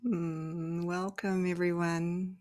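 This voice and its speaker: A woman leading a guided meditation, softly and gently, often hushed to a near-whisper, with long pauses between phrases. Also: on the low side, flat delivery.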